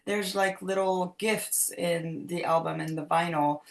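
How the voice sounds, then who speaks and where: A woman's voice: fairly low pitch, steady tone, a little slow, creaky. A young woman from France, a singer, talking casually and loosely with many fillers on a video call. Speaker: singer, interview guest. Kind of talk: casual interview talk.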